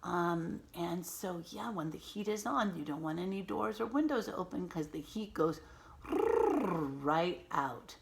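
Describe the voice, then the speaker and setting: A woman reading a picture book aloud to children as a teacher, expressively and patiently, pausing to explain and ask questions. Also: on the low side, expressive, creaky. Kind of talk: animated read-aloud with simple explanatory asides.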